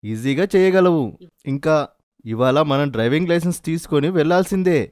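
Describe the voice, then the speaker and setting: A woman's voice: low-pitched, lively intonation, brisk. A woman voicing an encouraging but firm father in a children's cartoon, instructing and reassuring. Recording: music loudly behind the voice.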